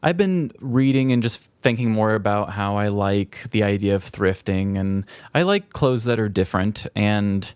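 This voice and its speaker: A man's voice: lowish voice, lively intonation, moderate pace, hardly any pauses, darkish tone, smooth, dry recording. A man co-hosting a podcast in casual, comedic chat, telling self-deprecating stories with some casual swearing. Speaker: podcast co-host.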